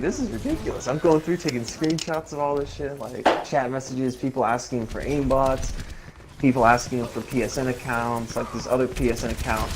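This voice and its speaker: A man telling an animated, casual story in slangy, sweary language, quoting people as he goes while he games. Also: mid-range voice, noisy background. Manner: slangy, profane, quotes people in dialogue. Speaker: streamer telling a personal story while gaming. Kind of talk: casual chat, animated anecdote. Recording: music behind the voice. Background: music, video game play.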